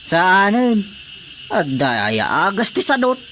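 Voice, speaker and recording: A man's voice: high-pitched, sing-song, takes it slowly, sharp tone, a little hiss or hum, exaggerated voice. A man playing a callous, stubborn husband, curt and complaining, in a radio drama. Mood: curt, defiant. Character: callous, stubborn husband fed up with his wife.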